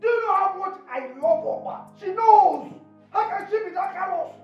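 An actor with a Nigerian accent playing an excitable, fickle friend in a comic film, exclaiming, repeating himself and stammering. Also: male voice, sits high, normal speed, creaky, roomy sound, muffled recording, soft background music, full character voice. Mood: outraged, frantic. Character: excitable, food-loving male friend, comically fickle peacemaker. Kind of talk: broad comic film acting.

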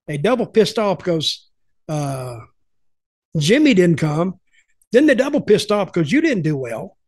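A man's voice: sits high, big pitch swings, measured pace, darkish tone. An older man telling rambling, folksy stories as a podcast host on a video call from home. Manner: rambling, folksy, repeats words. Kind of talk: casual storytelling chat. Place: home room with lamp. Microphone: headphones, webcam video call.

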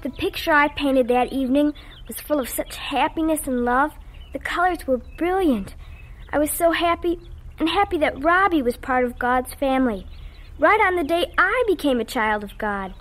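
A woman playing an innocent, excitable and devout farm girl in a radio drama. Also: high-pitched, normal speed. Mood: happy, reflective.

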